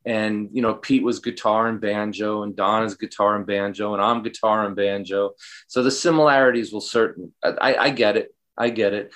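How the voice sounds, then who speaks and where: A man's voice: on the low side, steady tone, normal speed, fairly bright tone. A man from New York with a New York accent and a light tenor tone, chatting casually as an interview guest. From New York state, USA. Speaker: folk musician, interview guest.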